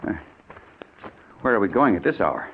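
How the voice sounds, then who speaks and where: An actor playing a nervous, excitable businessman who stammers in fear for his life, in an old-time radio suspense drama. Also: on the low side, normal speed, slight background noise, close to an everyday voice. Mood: fearful, stalling. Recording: tinny, muffled old radio broadcast.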